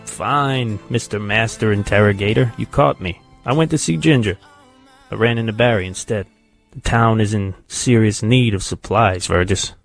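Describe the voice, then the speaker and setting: An actor playing an earnest, pushy and loyal comrade in an audio drama, speaking candidly. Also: male voice, fairly low pitch, normal speed, gravelly, faint music behind. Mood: casual, sheepish. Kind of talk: naturalistic audio drama acting.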